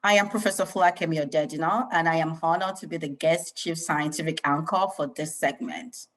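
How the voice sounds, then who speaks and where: A middle-aged woman opens and hosts an interview segment. She speaks with emphatic enthusiasm, and her voice sits on the lower side. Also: a little fast. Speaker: host. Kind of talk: hosting an interview.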